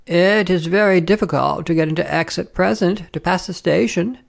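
A woman's voice: fairly low pitch, normal speed, creaky, pitched above the performer's own voice. A woman voicing a prim, nosy, smugly triumphant Frenchwoman from a story, with an insinuating, emphatic delivery. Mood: smugly solemn.

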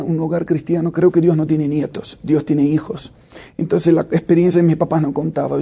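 Worship leader, thirties, male, higher-pitched but husky, reflective with hesitations. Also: warm tone. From Brazil. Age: 30s. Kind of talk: interview answers.

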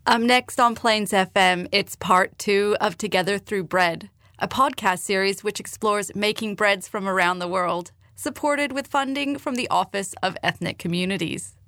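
A woman reading a scripted station announcement with crisp promo delivery. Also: mid-range voice, moderate pace, a bit bright, no room sound, clean studio sound.

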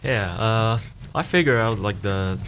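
A man from China, a native Chinese speaker, with a very deep voice who talks haltingly and relies on fillers. A clear background hiss runs under the recording. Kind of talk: casual interview answers. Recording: noisy recording.

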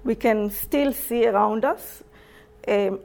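Young israeli woman giving a talk, slow and careful, highish voice, stumbles and restarts words. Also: gravelly, a little mellow. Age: young adult. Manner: halting, self-correcting non-native English with restarts. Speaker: lecturer. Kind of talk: public lecture. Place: lecture room.

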